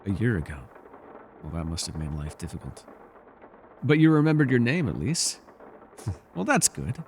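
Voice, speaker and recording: A man acting as an ancient elf with a low voice, in an intimate fantasy ASMR roleplay. He is wistful and measured, with a gently teasing streak. There is noticeable noise behind the voice. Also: sing-song. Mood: gently curious, then playful, teasing. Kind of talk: ASMR character roleplay, intimate scripted monologue.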